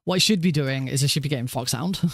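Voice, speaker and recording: A man with a naturally high voice, rambling casually and self-deprecatingly as he hosts a gaming livestream. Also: quick pace, creaky, a bit bright. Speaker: livestreaming speedrunner and host. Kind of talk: casual livestream chat over gameplay.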